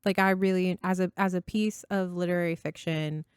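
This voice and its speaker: A woman's voice: on the low side, steady tone, a little fast, smooth, dry recording, studio quality. A woman co-hosting a casual book podcast, speaking in an enthusiastic, effusive way. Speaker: podcast co-host.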